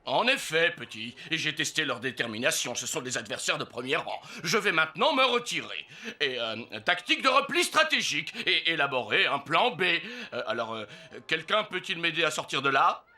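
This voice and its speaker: An actor playing a boastful charlatan king in an animated series, grandiose and theatrical in delivery. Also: fairly high pitch, moderate pace, full character voice. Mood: wounded bluster. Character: pompous male showman king, a boastful charlatan slinger. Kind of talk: French animated series dubbing. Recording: music behind the voice.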